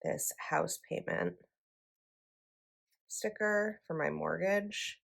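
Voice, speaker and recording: A woman's voice: on the low side, drawn-out words, gravelly, a bit bright, husky. A young woman vlogger chatting casually as she decorates a planner, her voice a little froggy this morning, rambling with likes and ums.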